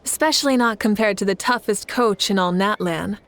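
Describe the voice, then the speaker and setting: A woman playing a composed, confident young female leader in a video game, speaking in a calm, assured, measured way. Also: mid-range voice, natural voice. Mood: appreciative. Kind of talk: game dialogue acting.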